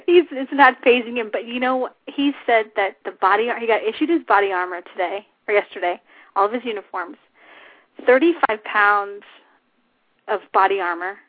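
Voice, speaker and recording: Female talk show host, informal and unscripted, slightly husky and scratchy, dry phone-quality sound. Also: medium pitch, normal speed, no room sound. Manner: chatty, informal. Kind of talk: unscripted casual radio chat. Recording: tinny phone-line internet radio audio.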